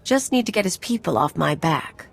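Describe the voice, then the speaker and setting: A tough gun-for-hire in a video game. Her voice sits low, and she talks in a blunt, nonchalant, dismissive way over background music. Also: very animated, moderate pace, vocal fry, husky, heavily acted. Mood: casual. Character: tough, sardonic female mercenary, cool and dismissive.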